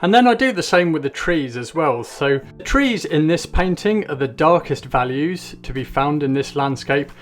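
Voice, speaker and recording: A man from England narrating a painting tutorial in a friendly, explanatory way. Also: on the high side.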